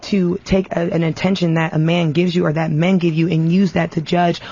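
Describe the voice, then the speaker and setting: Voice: mid-range voice, little pitch movement, fairly quick, warm tone, highs cut off, slight background noise. A radio guest in a casual debate, speaking earnestly and a little hesitantly while arguing a point. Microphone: studio radio microphone.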